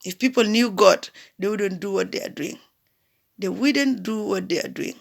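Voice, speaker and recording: A woman's voice: medium pitch, normal speed, creaky. A woman from Sudan, delivering an impassioned public appeal in a preaching manner. She repeats phrases and rises to emphatic cries. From South Sudan.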